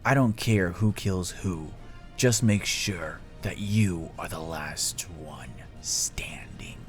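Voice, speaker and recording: A man voicing a cold, scheming middle-aged tyrant king in a fan story, speaking slowly and gravely in a hushed, breathy murmur. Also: sits low, faint music behind, voice pushed down. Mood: menacing, scheming. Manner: slow, grave, menacing, deliberate pauses.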